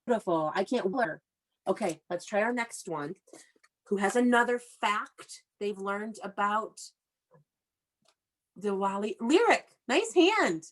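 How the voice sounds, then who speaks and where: A woman's voice: medium pitch, big pitch swings, slow, rough recording. An encouraging woman teaching young children. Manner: encouraging, praising, instructing step by step. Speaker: elementary school teacher. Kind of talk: interactive lesson for young children.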